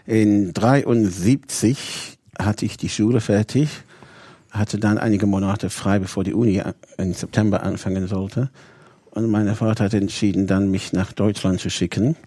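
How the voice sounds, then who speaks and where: A man's voice: lowish voice, steady tone, unhurried, dark tone, husky, no echo. A man of 59 from England with an English accent, telling his story conversationally in detail, sometimes searching for words. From Bradford, Yorkshire, England. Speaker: interview guest, rabbi.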